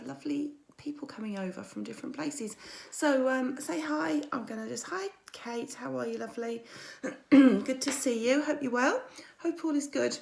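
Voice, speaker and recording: A woman mindset coach with a croaky voice, hosting a chatty weekly livestream and greeting viewers by name. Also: on the high side, darkish tone, a little hiss or hum. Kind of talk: weekly social media livestream.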